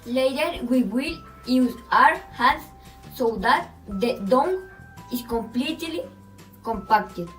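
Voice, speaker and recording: Slow, high-voiced woman demonstrating a recipe. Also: lively intonation, poor audio. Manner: slow, step-by-step instructing. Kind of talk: cooking demonstration. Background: soft music.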